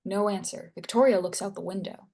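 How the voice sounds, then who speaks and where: Mid-pitched woman narrating a script. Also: a little fast, darkish tone. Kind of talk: reading screenplay stage directions at a table read.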